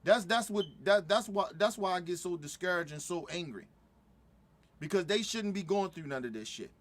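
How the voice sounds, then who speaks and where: Middle-aged man, fired-up reaction host, high-pitched voice, stumbling restarts. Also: animated, normal speed, a little hiss or hum. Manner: heated, repetitive, stumbling restarts. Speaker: commentator reacting to a video. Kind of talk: profane casual reaction commentary.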